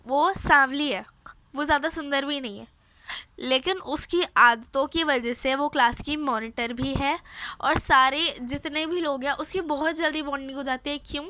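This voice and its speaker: High, hoarse-voiced young woman host. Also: bright, forceful tone. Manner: chatty, repeats herself, restarts phrases. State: hoarse from a cold. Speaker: self-help video host. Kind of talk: casual advice talk to camera.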